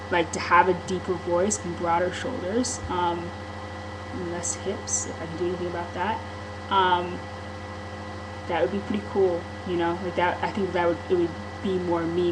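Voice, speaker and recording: A woman speaks to a camera microphone in a casual vlog, her voice sitting a little low. She talks candidly and rambles, with frequent ums and restarts. Clear background noise runs under the home-video recording. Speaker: vlogger. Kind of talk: casual personal vlog monologue.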